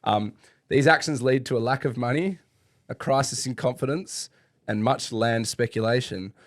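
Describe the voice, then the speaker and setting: A man's voice: medium pitch, moderate pace, dry recording, studio quality. A man co-hosting a podcast in casual chat, joking and laughing as he reads out trivia. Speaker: podcast co-host.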